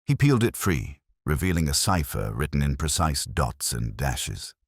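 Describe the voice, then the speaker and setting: A man narrates a detective tale in a very deep voice, with measured, literary phrasing. His pitch moves in lively swings, and the recording is close and dry. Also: slightly rough, no echo. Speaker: audiobook narrator. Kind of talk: scripted first-person detective story narration.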